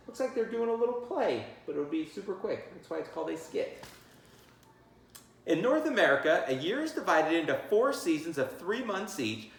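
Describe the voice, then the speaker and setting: A man reading a children's book aloud as a teacher, theatrical and encouraging, breaking off for chatty asides and questions. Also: high voice. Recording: echoey room.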